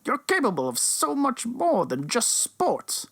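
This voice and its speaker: A woman's voice: a little high, huge pitch swings, measured pace, breathy edge, exaggerated voice. A woman playing authoritative announcer and official roles in a comedic audio drama. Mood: stern. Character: broadcast announcer, sports commentator and stern college dean. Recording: music behind the voice.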